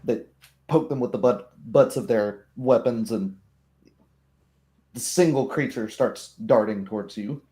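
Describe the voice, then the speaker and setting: A man narrating a tabletop roleplay as game master, describing scenes in a measured storytelling manner. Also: mid-range voice, mellow.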